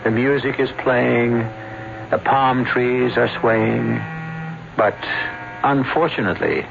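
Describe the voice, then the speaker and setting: A man's voice: medium pitch, slow, gravelly, background noise. A man playing a wry, sardonic narrator in scripted radio mystery drama. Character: wry, world-weary male narrator of a mystery tale. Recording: dull old radio recording. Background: music.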